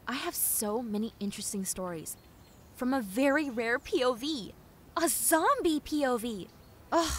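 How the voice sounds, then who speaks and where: Woman acting a high-pitched, chatty, friendly zombie girl. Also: slight background noise. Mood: dramatic regret. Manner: rambling, bubbly, expressive, with hesitant ums and dramatic emphasis. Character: clumsy, chatty, friendly pacifist young female zombie. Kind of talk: character roleplay audio drama, one-sided conversation.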